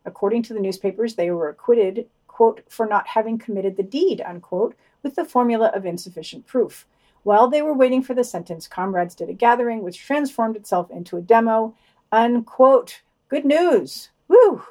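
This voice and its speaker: Higher-voiced woman reading news briefs. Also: animated, moderate pace, darkish tone. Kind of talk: scripted news reading with brief casual comments.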